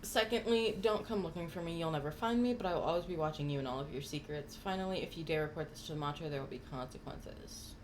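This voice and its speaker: A woman's voice: low voice, fast talker, few pauses, slight background noise. A woman game streamer giving casual commentary with wry, reactive asides, close on the mic. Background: game music.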